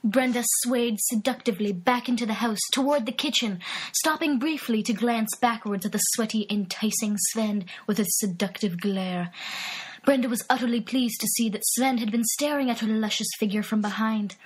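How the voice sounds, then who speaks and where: A woman narrating a parody romance story with deadpan, mock-serious delivery. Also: a little high, moderate pace, breathy edge, poor audio. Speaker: reader. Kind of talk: parody dramatic reading.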